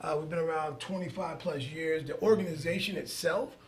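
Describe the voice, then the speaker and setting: A man's voice: fairly high pitch, brisk. A middle-aged man originally from New York City, speaking as a charter school representative, proud and informative. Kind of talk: casual chat.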